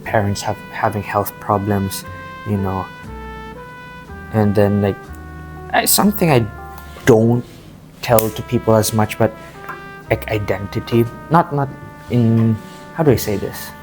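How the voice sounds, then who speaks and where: A man from Southeast Asia talking casually and earnestly in a reflective vlog, halting and repeating phrases as he goes. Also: on the low side, music in the background, rough recording. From Southeast Asia, grew up in Thailand and the Philippines. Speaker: amateur bodybuilder vlogger. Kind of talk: casual confessional vlog talk.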